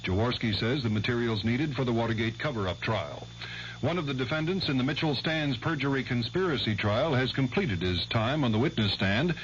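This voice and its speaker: A man's voice: mid-range voice, lively intonation, brisk. An American male anchor reads scripted radio news in a mid-range voice. The sound is dull and vintage, with a faint hum under it. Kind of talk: scripted network radio newscast.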